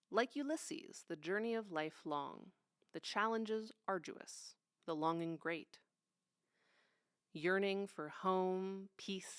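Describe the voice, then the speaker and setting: A woman reads solemn poetry with deliberate drama. Her voice is fairly low and smooth, and she takes her time. The sound is close and dry. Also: a bit bright, no echo. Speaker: poetry reader.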